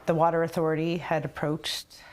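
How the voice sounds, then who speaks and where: A woman's voice: low voice, level tone, moderate pace, darkish tone. A woman telling her community's history as a documentary interviewee, reflective and conversational.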